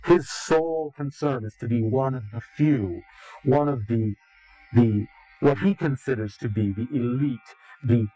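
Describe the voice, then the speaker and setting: A man giving an interview in a slow, grave, deliberate way, pausing often between phrases. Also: mid-range voice, dark tone, husky, low-quality recording. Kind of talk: ominous recorded interview.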